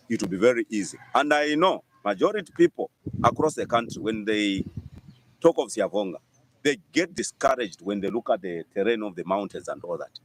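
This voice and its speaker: A man with a Zambian accent and a husky voice explains local works informally, as a council official speaking to press. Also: medium pitch, low-quality recording.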